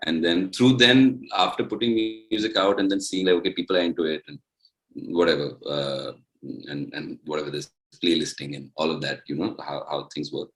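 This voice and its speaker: A young man with a very deep, low voice. He answers interview questions casually, circling back with fillers and restarts. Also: steady tone, a little fast, poor audio. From India. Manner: rambling, many fillers and restarts. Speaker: musician guest.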